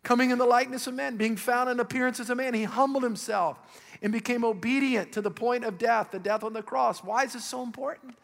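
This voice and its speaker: A male pastor with a raspy voice preaching a sermon, calling out questions to the congregation with rising emphasis. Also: very high-pitched, fairly even intonation, quick pace, a bit bright, in a large hall.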